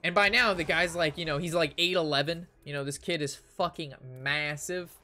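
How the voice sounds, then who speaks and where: A man's voice: fairly high pitch, moderate pace, pushed and bright. A young American man telling a casual, rambling story to his stream chat, swearing freely and exaggerating for comic emphasis. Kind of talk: casual anecdote told to chat while gaming.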